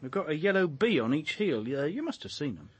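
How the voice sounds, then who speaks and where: Dogged British police inspector, higher-pitched husky voice, blunt, colloquial, argumentative, radio play. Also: male voice, big pitch swings, fairly quick. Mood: brisk. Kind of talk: naturalistic British radio drama acting.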